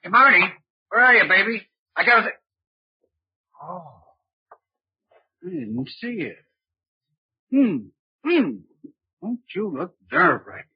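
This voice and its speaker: Cocky slangy racketeer, very rough husky voice, dull old radio sound. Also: male voice, high-pitched, very animated, takes it slowly, pauses often, no echo, heavily acted. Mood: cocky, excited. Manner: slangy, wheedling, pushy. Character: brash small-time crook and blackmailer, slangy, cocky. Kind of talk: 1940s radio crime drama acting.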